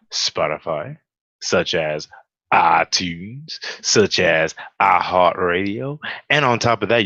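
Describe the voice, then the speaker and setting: A man's voice: deep voice, bright, forceful tone, no echo, muffled recording. A man on a casual panel, bantering in a playful, emphatic way with repeated phrases. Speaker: show panelist.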